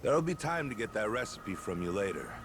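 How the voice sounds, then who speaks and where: An actor playing a level-headed, dry-witted pirate crewmate in a video game cutscene. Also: male voice, fairly low pitch, sing-song, a little fast, noisy background. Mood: businesslike.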